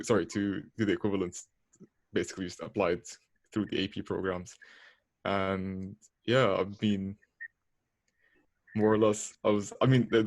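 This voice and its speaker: Flat, low-voiced young man, dry sound. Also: dry recording. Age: late teens to early 20s. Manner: hesitant, searching for words. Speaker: medical student panellist. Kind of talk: casual chat.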